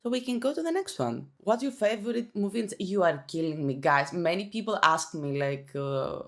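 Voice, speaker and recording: Low-voiced Greek woman, chatty. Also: lively intonation, moderate pace, a bit bright. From Greece. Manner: chatty, self-conscious, with frequent restarts and fillers. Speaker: YouTuber. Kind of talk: casual Q&A chat.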